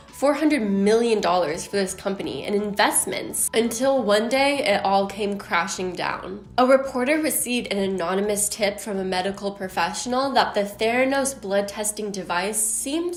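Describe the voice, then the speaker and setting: A chatty woman tells a story in a loose, casual way, her voice on the deeper side. She leans on "like" as she talks. The sound is roomy, with a clear echo around her. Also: moderate pace, hardly any pauses, faint music behind. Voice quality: somewhat deep voice. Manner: chatty, uses "like" often. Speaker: YouTube storyteller.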